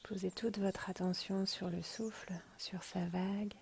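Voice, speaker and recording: A woman guiding a relaxation meditation in a hushed, breathy, half-whispered, soothing voice, as a yoga nidra teacher. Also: mid-range voice, fairly even intonation, unhurried, slight background noise. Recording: rain and birdsong behind the voice. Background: rain and birds.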